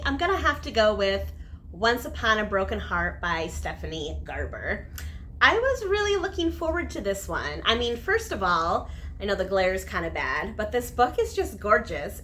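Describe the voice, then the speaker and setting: A woman's voice: medium pitch, bright, forceful tone, slight background noise. A woman giving a casual, enthusiastic review to camera as a vlogger, stressing and repeating words for emphasis.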